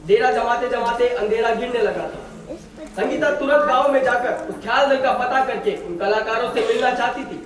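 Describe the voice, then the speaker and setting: An actor playing an earnest storyteller, giving scripted Hindi narration for a folk stage play. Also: male voice, very high-pitched. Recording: distant echoey auditorium with audience noise.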